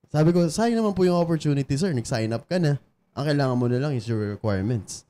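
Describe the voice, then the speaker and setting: A man's voice: a little high, expressive, fast, mellow. A man co-hosting a casual podcast chat in a barbershop studio, giving coach-like advice and switching between English and Filipino. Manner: coaching, mixes English and Filipino, many yeahs. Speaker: co-host.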